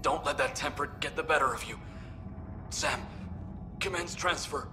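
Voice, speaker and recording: A man playing a dying alien commander in a video game, tender and breathy as he fades from his wounds. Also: a little high, normal speed, music in the background, lightly acted. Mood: pained, tender.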